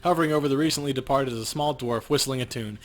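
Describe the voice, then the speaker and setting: Guy co-hosting a let's play, reads the story bits out loud, normal pitch, quiet game music under him. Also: fast. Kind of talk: narrating on-screen game text with casual commentary.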